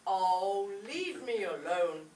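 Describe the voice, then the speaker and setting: A woman's voice: mid-range voice, very animated, slow, darkish tone. A woman playing a whiny, reluctant young son, a boy scout, in an old-time radio comedy. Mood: whiny, defiant. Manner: whiny, complaining. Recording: echoey stage, distant mic, faint noise.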